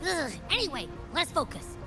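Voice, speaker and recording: An actress playing a plucky, chatty, easily spooked young companion in a video game, with an expressive, casual delivery. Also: female voice, very high voice, moderate pace, over music. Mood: uneasy, curious. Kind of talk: animated video game acting.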